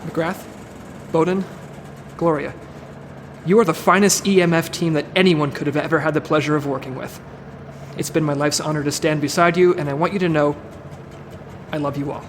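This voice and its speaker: A man's voice: a little high, steady tone, moderate pace, long pauses, a little hiss or hum, close to an everyday voice. A man playing an earnest, self-sacrificing agent leading his team in an audio drama, commanding, formal and solemn. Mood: solemn, heartfelt.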